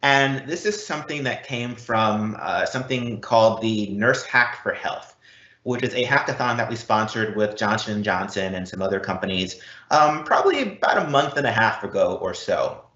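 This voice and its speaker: A man's voice: lowish voice, animated, normal speed, fairly bright tone. A man giving a webinar presentation as a technical speaker. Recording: roomy echo, slightly dull.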